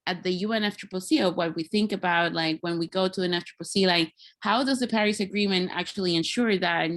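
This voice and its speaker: A woman giving an informal online presentation as a guest speaker, thoughtful and halting, with many small filler phrases. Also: fairly low pitch. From Puerto Rico. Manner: halting, thoughtful, peppered with "like" and "you know". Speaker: guest speaker, climate scientist. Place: video call.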